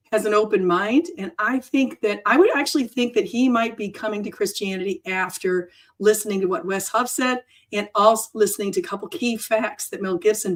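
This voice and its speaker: Woman host, froggy hoarse voice, rambling unscripted livestream chat. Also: medium pitch. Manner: rambling, self-correcting, chatty. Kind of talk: off-the-cuff livestream talk.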